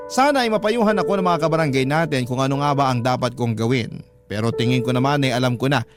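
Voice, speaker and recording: A man reading a listener's letter as a radio host, in a dramatic storytelling style. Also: mid-range voice. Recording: loud music bed behind the voice. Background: loud background music.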